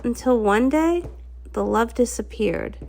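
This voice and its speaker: A woman storybook narrator with a high voice. She reads in a dramatic, theatrical first-person style, her pitch rising and falling widely. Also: measured pace, vocal fry. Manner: theatrical, expressive. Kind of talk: dramatic first-person comic read-along.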